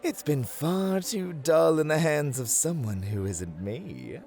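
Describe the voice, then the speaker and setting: A man's voice: on the high side, lively intonation, slow, a little mellow, soft background music, voice pushed up. A man voicing a flirty, playful, vain satyr in a fantasy roleplay, with a theatrical, teasing, coaxing delivery. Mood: teasing, smug. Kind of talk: fantasy roleplay ASMR acting.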